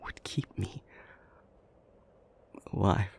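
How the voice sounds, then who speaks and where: A man playing an intense, anguished demon in an intimate audio roleplay, speaking in breathy, halting, deliberate phrases. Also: darkish tone. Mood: anguished, indignant. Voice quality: breathy, mostly whispered. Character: intense, anguished male demon, brooding and reasoning aloud.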